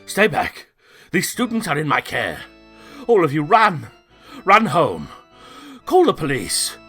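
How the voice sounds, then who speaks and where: A man voicing a stern, brave, protective school principal in a comic dub, raspy and urgently commanding. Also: on the high side, wildly swooping pitch, slow, a bit bright, soft background music, exaggerated voice. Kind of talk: comic book dub voice acting.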